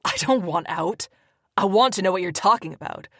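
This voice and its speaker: A woman's voice: mid-range voice, animated, moderate pace, dry recording, clean studio sound. A woman voicing a young man on the phone who is puzzled and defensive, snapping back. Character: confident young man, brushing off blame.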